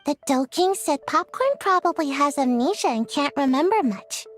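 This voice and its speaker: Bossy young game girl, very high pitch, lively intonation, self-important, skeptical. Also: normal speed, faint music behind. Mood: earnest, self-important. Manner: pretend-formal, stumbles over big words. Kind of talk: animated game dialogue.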